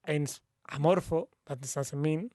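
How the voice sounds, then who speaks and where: High-voiced Spanish-accented man. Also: mellow, dry recording, clean studio sound. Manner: playful, halting English with Spanish phrases. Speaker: co-host teaching football words. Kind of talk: casual bilingual podcast chat.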